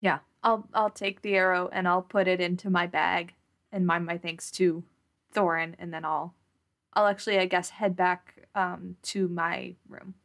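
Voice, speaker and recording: A woman's voice: on the low side, fairly even intonation, a little slow, gravelly, a bit bright. Woman player narrating her moves. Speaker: tabletop game player. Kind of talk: casual improvised roleplay narration.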